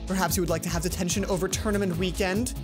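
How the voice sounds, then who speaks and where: A stern female school librarian from a visual novel game, put on by a woman. She is spiteful and curt, with a cold, threatening delivery. Music is clearly heard behind her. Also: fairly low pitch, steady tone, fast, natural voice.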